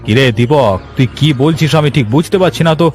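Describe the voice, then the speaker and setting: A man's voice: fairly high pitch, fast talker, darkish tone, soft background music, lightly acted. A man voicing a village police officer in a cartoon, addressing people in a familiar, official way. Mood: questioning. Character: gruff but apologetic village police officer.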